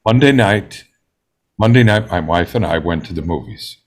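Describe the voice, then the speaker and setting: A man in a drama table read playing a composed, precise, self-assured juror with a deliberate delivery. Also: low-pitched, expressive. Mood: hesitant recollection.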